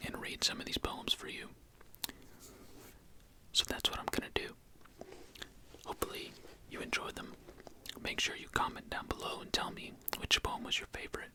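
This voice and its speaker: A man hosting an ASMR video in a soft, unhurried whisper. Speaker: host of an ASMR video channel.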